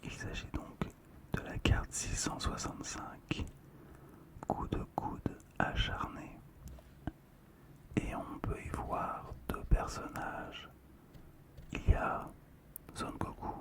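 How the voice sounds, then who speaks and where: An ASMR host whispering softly and slowly while presenting and commenting on collectibles in a hushed voice. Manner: whispers throughout, slow and hushed.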